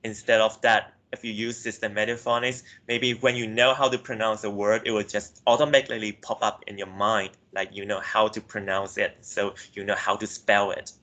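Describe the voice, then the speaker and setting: A young man with a fairly low voice presents research findings to a class. He speaks hesitantly, leaning on filler words and often correcting himself mid-sentence. He grew up in Myanmar. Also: level tone, normal speed, hardly any pauses, sharp tone. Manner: hesitant, frequent "like" and self-corrections. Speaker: student presenter.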